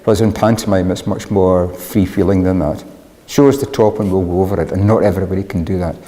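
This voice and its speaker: A man's voice: sits low, fairly even intonation, quick pace, warm tone. A man explaining things in an interview as a member of a drama club. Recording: reverberant hall.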